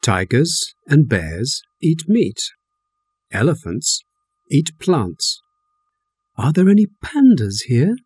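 A man reading English textbook dialogues aloud, carefully and clearly, for learners. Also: fairly high pitch, takes it slowly, long pauses, dry recording. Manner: careful, clearly articulated, animated intonation. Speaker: textbook audio reader.

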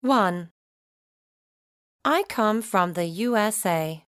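A woman reading numbered items for a recorded English listening exercise, slowly and carefully enunciated. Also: mid-range voice, animated, fairly bright tone, no room sound, clean studio sound. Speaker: recorded listening test reader.

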